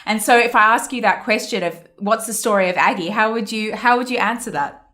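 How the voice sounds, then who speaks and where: A woman's voice: mid-range voice, a little fast, a bit bright. A woman podcast host in a conversational interview, speaking in an affirming, reflective way.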